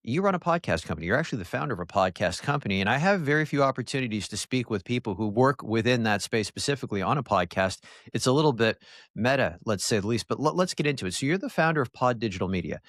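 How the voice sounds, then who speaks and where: A man's voice: medium pitch, animated, quick pace, fairly bright tone, no room sound, clean studio sound. A man hosting a podcast interview, asking friendly, guiding questions. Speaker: podcast host.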